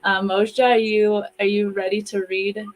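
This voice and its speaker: A woman's voice: medium pitch, level tone, a little slow, slight background noise, rough recording. A woman hosting in a casual, reflective conversational style, speaking over an online video call. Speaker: host and co-author.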